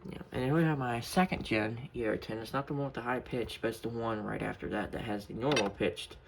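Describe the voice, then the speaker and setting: Man, mid-to-low creaky voice, informal show-and-tell, offhand asides, noisy room recording with clicks. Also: steady tone, a little fast. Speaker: hobbyist showing a weather radio collection. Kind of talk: casual unscripted show-and-tell. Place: room at home.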